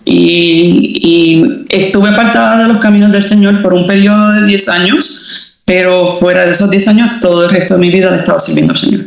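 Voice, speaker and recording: Relaxed Puerto Rican woman, echoey room. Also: lowish voice, brisk, creaky. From Puerto Rico. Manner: relaxed, many fillers and restarts. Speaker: interview guest, doctor. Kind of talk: casual faith testimony.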